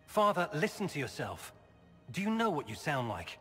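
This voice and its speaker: Dying son: husky, tired young man, pleading; hall reverb, faint music. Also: fairly high pitch, big pitch swings, moderate pace, fairly bright tone, exaggerated voice.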